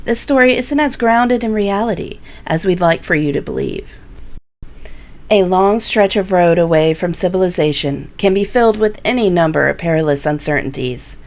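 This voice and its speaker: A woman's voice: fairly low pitch, darkish tone. A woman hosting a mystery anthology with scripted, theatrical, questioning narration. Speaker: host and narrator.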